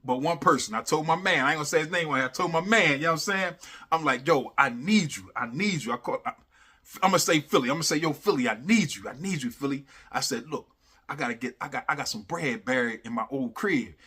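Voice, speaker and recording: A man casually telling a funny personal story in animated, slangy talk, repeating phrases for emphasis and constantly checking in with the listener. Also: a little high, brisk, slightly rough. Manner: animated, slangy, repeats phrases for emphasis, often adds "you know what I'm saying". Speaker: storyteller, host of a story series.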